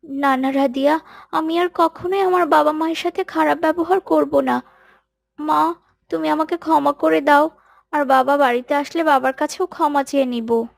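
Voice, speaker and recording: Cartoon casting: woman as stubborn poor schoolgirl, high and slightly creaky, very dry sound. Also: fairly even intonation, moderate pace, no room sound. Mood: remorseful. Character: sulky poor little schoolgirl, stubborn and tearful. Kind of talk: children's moral cartoon voice acting.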